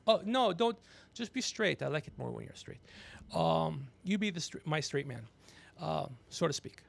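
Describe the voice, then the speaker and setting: A gregarious man hosting a podcast, telling rambling comedic stories with animated, teasing asides. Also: a little high, normal speed, gravelly. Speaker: podcast host. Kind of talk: rambling comedic storytelling on a livestream.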